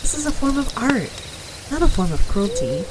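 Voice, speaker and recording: A woman's voice: high voice, huge pitch swings, normal speed, noisy background. A woman playing a friendly, confident witch who chats reassuringly to the listener in an ASMR roleplay. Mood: proud, chatty. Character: friendly, confident female forest witch, eager mentor.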